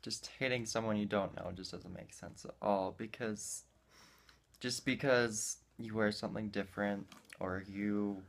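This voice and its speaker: A man's voice: on the low side, fairly even intonation, unhurried, gravelly. A young man, a video creator, chatting casually and earnestly in informal language. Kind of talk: casual chat.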